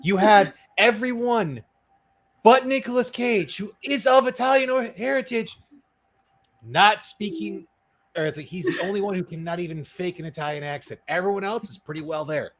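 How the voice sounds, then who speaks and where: A man's voice: high voice, moderate pace. A man in a casual podcast chat, dropping dry sarcastic quips.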